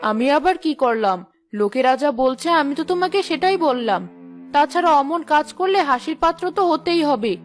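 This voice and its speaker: A woman's voice: high voice, lively intonation, fairly quick, soft background music. A woman voicing a gossipy, taunting village wife in a cartoon, with a needling manner. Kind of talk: cartoon voice acting.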